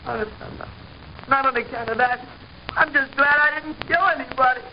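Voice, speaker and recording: A man playing a frightened, guilt-ridden high school boy in an old-time radio drama, stammering and pleading frantically. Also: a little fast. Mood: distraught. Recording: muffled, noisy old radio recording.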